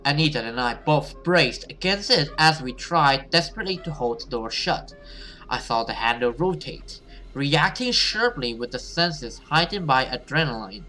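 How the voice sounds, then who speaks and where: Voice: mid-range voice, animated, normal speed, bright, forceful tone, faint music behind. A gaming video host who reads a horror story dramatically and adds casual commentary.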